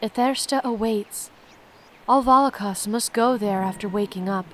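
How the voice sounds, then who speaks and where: A woman voicing a serene, bright otherworldly creature with calm, formal speech as she reads a game's story. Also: medium pitch, normal speed, slight background noise, natural voice. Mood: serene, solemn.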